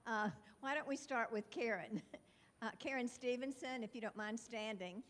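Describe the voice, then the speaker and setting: A woman's voice: a little high, moderate pace. An older woman introducing new residents at a meeting, laughing often and losing her place. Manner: warm, giggly, scattered, self-deprecating. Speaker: retiring neighborhood representative. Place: chapel hall.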